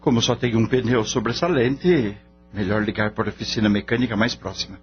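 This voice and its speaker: An actor playing an easygoing veterinarian who gets frightened under threat, in a radio drama. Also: male voice, on the low side, brisk, natural voice. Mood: startled, resigned. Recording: dull lo-fi audio, music behind.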